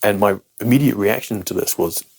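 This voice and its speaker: A man's voice: fairly low pitch, a little fast, creaky, a little mellow, breathy edge. A man with a British accent, a native English speaker, talks to camera as a guitar teacher, explaining picking technique in a frank, self-deprecating and emphatic way.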